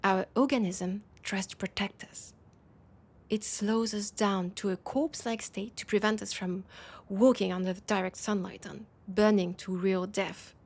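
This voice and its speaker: A woman acting out a proud vampire lady with a French accent. Her voice is husky and frayed with gravelly creak at the edges. She speaks earnestly and haltingly, worried but dignified, as in an intimate audio drama. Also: mid-range voice, fairly even intonation, a little mellow. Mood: grave, troubled. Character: proud, worried female vampire of a supernatural council. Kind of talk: intimate scripted audio roleplay.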